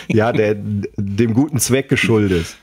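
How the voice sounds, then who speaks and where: A man's voice: lowish voice, darkish tone. A man co-hosting a podcast, chatting casually and often correcting himself as he explains. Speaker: podcast co-host.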